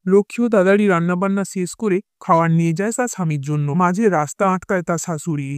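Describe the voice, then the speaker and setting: A man's voice: high-pitched, fast, clear, resonant tone, dry recording, clean studio sound. A man narrating a scripted cartoon story as its storyteller.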